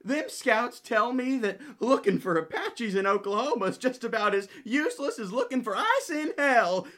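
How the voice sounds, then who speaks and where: A woman's voice: fairly high pitch, very animated, normal speed, a bit bright. A woman playing a put-upon, folksy male cavalry corporal in a comic radio play, deferential and yelping, with rustic grammar. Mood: nervous, explaining.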